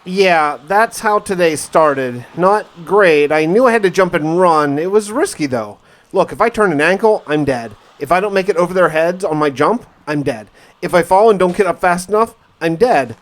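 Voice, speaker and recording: Male game narrator, slightly high voice, conversational in-character survival diary, thinks out loud. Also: animated, moderate pace. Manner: conversational, musing aloud with rhetorical questions. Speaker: gaming video narrator and player.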